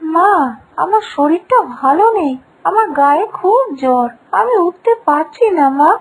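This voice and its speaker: A woman voicing a meek, anxious young bride in an animated fairy tale, apologetic and plaintive. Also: very high-pitched, measured pace, rough recording. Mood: apologetic, pleading.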